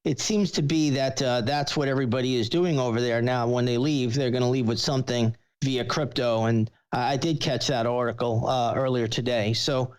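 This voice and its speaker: A man's voice: mid-range voice, steady tone, normal speed, hardly any pauses, clear, resonant tone, low-quality recording. A man speaking as an analyst on a show, giving conversational, explanatory commentary. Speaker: market analyst, regular guest.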